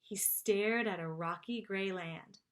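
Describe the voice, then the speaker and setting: A woman narrating a children's chapter book aloud in an expressive storytelling style. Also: mid-range voice, slow. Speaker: storybook reader.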